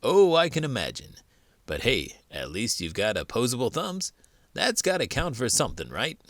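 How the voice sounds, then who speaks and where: A man's voice: medium pitch, huge pitch swings, normal speed, fairly bright tone, no room sound, voice pushed up. A man voicing a cheerful talking horse puppet in a playful, encouraging children's skit. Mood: playful proud.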